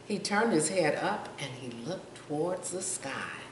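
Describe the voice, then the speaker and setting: An older woman reads a picture book to children in a slow, highly varied voice. She pauses to ask questions and point out pictures. The sound is echoey and a little far from the mic, with faint noise. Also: animated. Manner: asks young listeners questions, points out pictures. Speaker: storytime reader.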